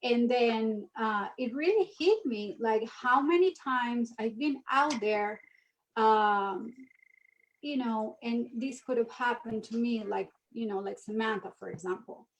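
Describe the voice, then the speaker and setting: Earnest Venezuelan-born woman, slightly high voice. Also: unhurried, poor audio. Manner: earnest, animated storytelling. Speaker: guest, mission ambassador. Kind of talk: casual interview talk.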